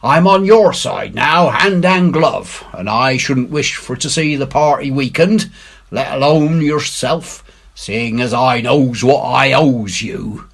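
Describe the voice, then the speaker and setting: A man's voice: medium pitch, slow pace, vocal fry, slightly rough, pitched above the performer's own voice, heavily acted. A man voicing a wily, ingratiating old pirate for a storybook, with a deferential, rustic sailor's way of talking. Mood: earnest, ingratiating. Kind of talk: dramatic storybook character reading.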